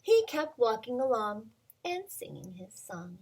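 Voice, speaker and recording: Woman, preschool teacher, high bright voice that swoops widely, playful read-aloud for small children. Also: unhurried, slight background noise. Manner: playful and expressive, asks the listener questions, drops to whispers. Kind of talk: reading a picture book aloud to young children. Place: at home.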